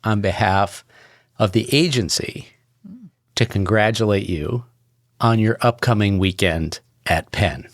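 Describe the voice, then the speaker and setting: A man guesting on a podcast, giving formal congratulatory remarks in measured short phrases with pauses. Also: fairly low pitch, no echo, studio quality. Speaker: podcast guest, agency leader.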